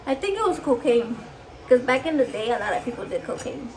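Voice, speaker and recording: A woman's voice: on the high side, sing-song, brisk, darkish tone, poor audio. A woman with a Mexican accent, Spanish her first language, chatting casually and profanely while cooking at home and switching between English and Spanish. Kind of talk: casual cooking vlog chat. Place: home kitchen.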